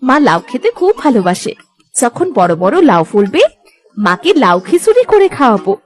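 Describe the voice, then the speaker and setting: Actress as tender, lively village daughter-in-law, high-voiced. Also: female voice, normal speed. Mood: tender, pleased. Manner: tender, reassuring, affectionate. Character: caring, dutiful elder daughter-in-law, gentle village woman. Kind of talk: Bengali moral-story cartoon dubbing.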